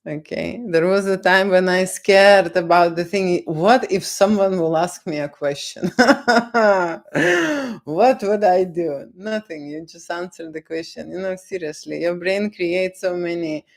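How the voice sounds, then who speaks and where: A woman with a Lithuanian accent, not a native English speaker, answering viewers' questions on a livestream in a slow, lecturing way and tagging "okay?" onto her points. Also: fairly low pitch. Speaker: photographer on a livestream. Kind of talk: live Q&A chat with viewers.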